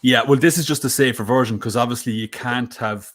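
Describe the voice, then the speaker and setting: A man's voice: mid-range voice, fairly quick, a bit bright. A man co-hosting a live betting stream, thinking aloud in a casual way, with lots of fillers and restarts. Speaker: co-host and betting tipster.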